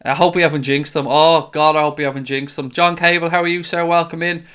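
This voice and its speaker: A man's voice: fairly high pitch, fairly even intonation, fast talker, a bit bright, clear, resonant tone. A man hosting a casual live stream, chatting in a friendly way and greeting viewers by name. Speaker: host of a fan live stream. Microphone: desk microphone.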